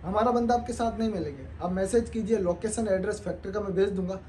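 A young man sells his clothing line from a showroom floor. His voice sits high but mellow, and he talks persuasively as he handles the garments. A faint room hum lingers behind him. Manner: fast persuasive salesman, shows garments while talking. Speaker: clothing wholesaler. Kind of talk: sales pitch in a showroom.

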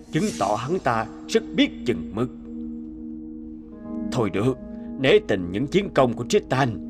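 A man voicing a stern, imperious older king in an animated fairy tale, pompous and commanding. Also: mid-range voice, close to an everyday voice.

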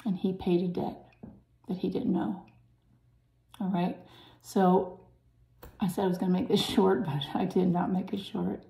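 A woman with a raspy voice preaching to her viewers in an earnest, urgent way, often dropping to a soft, breathy hush. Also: on the low side, flat delivery, measured pace, long pauses, reverberant. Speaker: channel host preaching.

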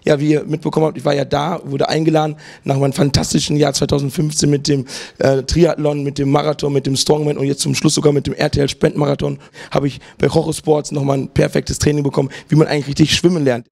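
A man hosting the show, making energetic promotional announcements into a handheld mic. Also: on the high side, fast, gravelly. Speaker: show host. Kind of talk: upbeat presenter announcement.

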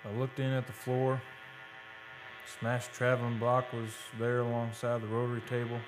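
An actor playing a haunted oil driller in a radio drama, telling his story in a folksy, halting, suspenseful way. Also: mid-range voice, completely flat delivery, natural voice, low-quality recording. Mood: brooding, eerie. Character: haunted male oil driller recounting a terror. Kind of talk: live old-time radio drama monologue. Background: live dramatic music.

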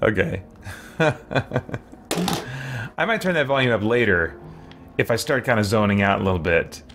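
A man in his thirties giving casual livestream commentary as he plays a video game, chatting and thinking out loud in a rambling way. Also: lowish voice, lively intonation, moderate pace, noisy background. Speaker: live streamer, game player. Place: small indoor room with shelves. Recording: clear game sound behind the voice. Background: video game ambience and effects.

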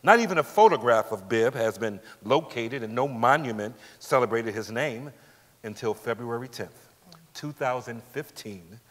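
A man's voice: mid-range voice, fairly bright tone. A middle-aged man giving a scripted dramatic reading as narrator on a theatre stage. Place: large indoor theatre stage. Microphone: lapel. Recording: echoey theatre hall.

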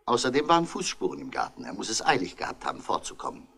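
A man playing a calm, shrewd French police inspector in a 1960s German radio crime drama, courteous, measured and probing. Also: fairly low pitch, creaky, heavily acted. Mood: shrewd questioning.

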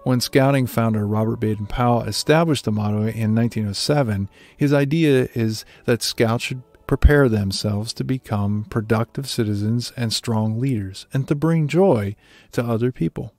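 A man's voice: mid-range voice, moderate pace, darkish tone, no echo. A man reading a scripted devotional reflection. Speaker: devotional speaker.

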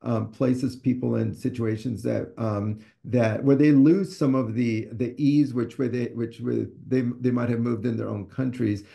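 A man's voice: mid-range voice, steady tone, measured pace, vocal fry, few pauses, dark tone. A man in his sixties from Ecuador, an author answering questions on a video call from a study, thoughtful and hesitant with many fillers and restarts. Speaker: author and scholar. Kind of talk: conversational talk on a video call. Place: home study with bookshelves. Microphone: video call webcam.

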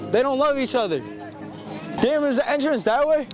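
A man filming a playful prank vlog out in a busy crowd, teasing strangers loudly, cold and sniffly. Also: very high voice, normal speed. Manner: loud, playful, teasing, swears casually. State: cold, runny nose. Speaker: prank vlogger. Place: outdoors in a busy city crowd. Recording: loud music and crowd noise outdoors. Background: loud music and crowd.

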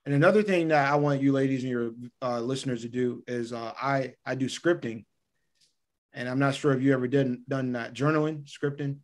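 A man's voice: medium pitch, steady tone, a little fast. Man explaining his motivational daily routine. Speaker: podcast guest. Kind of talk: conversational explaining of personal routines. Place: indoor room by a window, on a video call.

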